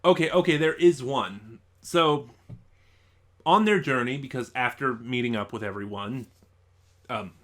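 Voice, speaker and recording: A man's voice: medium pitch, measured pace, long pauses, a bit bright. A man giving a casual, unscripted review as an online reviewer, rambling and thinking aloud in a stop-and-start way.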